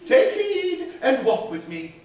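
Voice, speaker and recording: A man voicing a childlike ghostly spirit that speaks in measured, formal pronouncements. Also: very high voice, huge pitch swings, a little mellow, very echoey, distant mic, voice pushed up, heavily acted. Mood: solemn. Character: otherworldly childlike spirit, calm and solemn.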